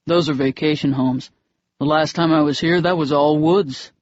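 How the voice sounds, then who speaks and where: A man voicing a cocky young daredevil who teases in a low, lazy drawl. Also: steady tone, normal speed, dry recording, lightly acted. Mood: plain. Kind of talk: audiobook dialogue. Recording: dull lo-fi dry audio.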